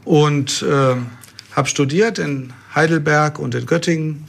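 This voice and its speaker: A middle-aged man with a gravelly, husky voice, pitched a little above most men's. He talks easily and explains things plainly, with a dry, joking touch. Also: steady tone, a little slow. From Germany. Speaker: pastor and guest. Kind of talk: casual interview talk.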